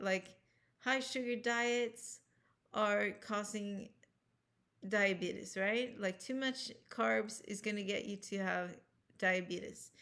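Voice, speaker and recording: A woman's voice: a little high, a bit bright. A woman with a Swedish accent, speaking English as a second language, talking casually and earnestly to camera as a health vlogger, rambling with restarts and self-corrections. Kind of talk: casual personal talk to camera.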